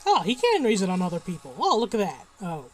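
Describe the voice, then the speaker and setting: A woman's voice: medium pitch, wildly swooping pitch, fast, soft background music. A woman streaming a game, making casual, playful commentary and teasing asides to friends. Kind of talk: casual livestream commentary and banter. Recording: livestream with faint game noise.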